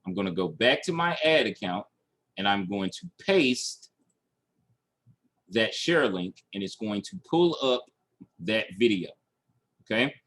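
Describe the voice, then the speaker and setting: Man, low voice, slow pace, instructional, step-by-step screen-share tutorial. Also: very animated, fairly bright tone. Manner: instructional, checking in with "okay" and "all right". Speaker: online marketing instructor. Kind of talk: screen-share tutorial, talking through steps aloud.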